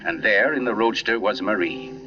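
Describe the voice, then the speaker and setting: An actor playing a grave novelist who narrates a suspense tale in a measured, dramatic 1940s radio style, on a vintage recording. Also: male voice, lowish voice, distant mic, lo-fi sound, lightly acted. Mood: ominous. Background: dramatic score music.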